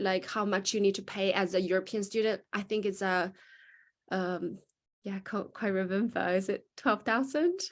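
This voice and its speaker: Woman explaining admissions on a video call, mid pitch. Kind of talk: explaining requirements in online meeting.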